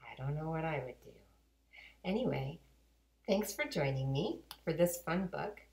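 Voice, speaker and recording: Animated woman reading aloud, slow and roomy. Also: sits low. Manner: animated, deliberate storytelling. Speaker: guest reader. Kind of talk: expressive children's storybook read-aloud. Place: home kitchen and dining room indoors. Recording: roomy home kitchen echo.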